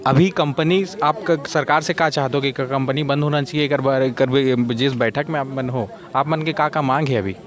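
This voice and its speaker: A man's voice: mid-range voice, moderate pace, few pauses. A man with a Chhattisgarhi accent conducting a field news interview as a reporter in colloquial speech.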